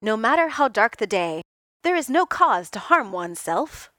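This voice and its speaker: A woman's voice: on the high side, sing-song, normal speed, no echo. Lady doing a big formal guy talkin all old-timey, dry close sound. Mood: earnest. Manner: formal, old-fashioned phrasing, loud. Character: hearty formal man, archaic speech, kindly.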